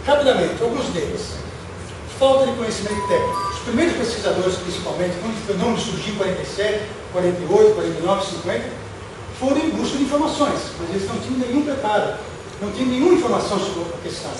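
A middle-aged Brazilian man giving a public lecture in a deliberate, emphatic way, posing rhetorical questions and listing points. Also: sits high, animated. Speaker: lecturer, former UFO researcher. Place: auditorium stage, indoors. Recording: echoey hall from far off, noisy.

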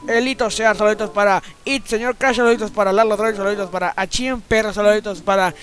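A man's voice: fairly even intonation, fast talker, fairly bright tone. A man voicing a foul-mouthed, cranky old grandfather who grumbles and swears while playing a video game. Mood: rattling off. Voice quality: high, strained old-man voice. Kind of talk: comedic gameplay commentary.

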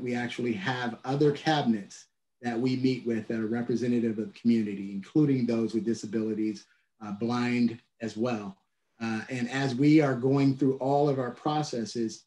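Middle-aged man, transit leader, video call, measured and halting, echoey office room. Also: mid-range voice, darkish tone. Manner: measured, restarts phrases, many "uh" fillers. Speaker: transit agency leader, keynote guest. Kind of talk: answering questions on a video call. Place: office at home or work.

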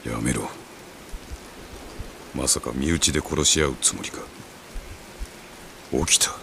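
An actor playing a stern, commanding samurai officer in a video game, gruff, authoritative and coolly menacing. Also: male voice, very deep voice, takes it slowly, exaggerated voice. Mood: tense, guarded. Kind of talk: dramatic samurai game cutscene acting. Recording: ambient noise behind the voice.

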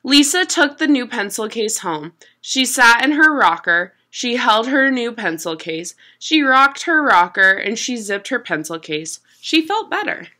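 A young adult woman reading a children's picture book aloud at home in an expressive, deliberate storytelling manner. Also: fairly high pitch. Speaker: storybook reader. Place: small room at home.

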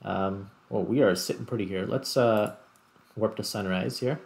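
A man giving casual gameplay commentary as host, thinking aloud in broken, halting phrases. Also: on the low side, gravelly. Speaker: gaming video host. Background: music.

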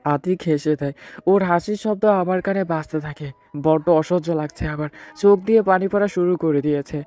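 A man's voice: fairly high pitch, moderate pace, dark tone, natural voice. A man playing a betrayed, heartbroken husband who narrates his own story in a sorrowful, earnest way. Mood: pained, tense. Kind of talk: dramatic first-person audio story narration.

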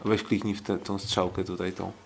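A man's voice: low-pitched, little pitch movement, normal speed, vocal fry, a little hiss or hum. A man co-hosting a sports podcast in casual, unscripted talk, hesitant and often repeating himself. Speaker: podcast co-host and kickboxing commentator.

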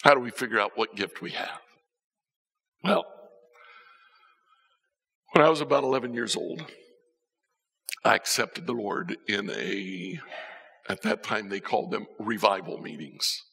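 An older man preaching a sermon from a church stage, telling stories and swinging between soft and emphatic lines. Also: on the low side, measured pace, gravelly, pauses often, breathy edge. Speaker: pastor. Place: church stage with band instruments. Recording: church hall reverb.

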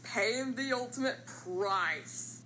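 A woman voicing a confident, playful living triangle from a cartoon, talking through things in a deliberate way. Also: fairly high pitch, expressive, pushed and bright, slight background noise, exaggerated voice. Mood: admonishing. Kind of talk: animated character voice lines.

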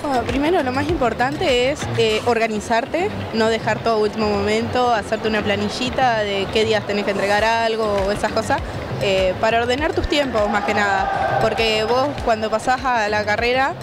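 A woman's voice: fairly high pitch, normal speed. A young Argentine woman, a student guest chatting casually in an interview on a handheld mic. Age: young adult. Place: large indoor fair hall. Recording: background music at busy fair.

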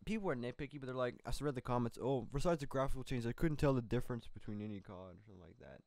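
A man's voice: medium pitch, very fast, a little mellow, no room sound. Rambling young man reading gaming news. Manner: rambling, loose delivery with many fillers. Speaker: co-host of a gaming news show. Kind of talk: casual gaming news chat. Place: small home studio room. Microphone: studio mic on a boom arm.

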